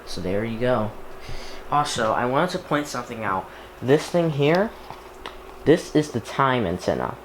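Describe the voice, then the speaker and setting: A man casually talking through an unboxing and setup as he works, halting and half muttering to himself. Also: medium pitch, very animated. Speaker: YouTuber unboxing and setting up a radio. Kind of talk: casual unboxing narration while handling items. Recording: echoey room with clear background noise.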